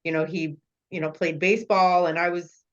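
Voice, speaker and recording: A woman's voice: fairly low pitch, fairly even intonation, brisk, lo-fi sound. A woman hosting a podcast who listens and responds encouragingly, laughing readily as she talks. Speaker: podcast host. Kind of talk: casual conversation.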